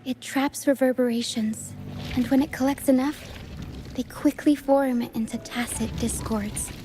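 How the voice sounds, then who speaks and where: A woman voicing a playful, earnest young female troupe member in a video game, speaking softly with theatrical touches. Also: high-pitched, fairly even intonation, measured pace. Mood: grave, explanatory. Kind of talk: video game dialogue acting. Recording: game music behind the voice.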